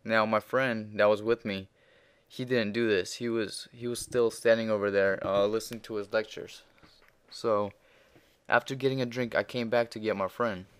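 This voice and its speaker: A man's voice: fairly low pitch, fairly even intonation, moderate pace, clean tone. A teenage boy with a Russian accent telling a crude, profanity-filled story in a rambling way, with many fillers, while commenting over gameplay. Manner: grows louder and heated while retelling the argument. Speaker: gaming commentator.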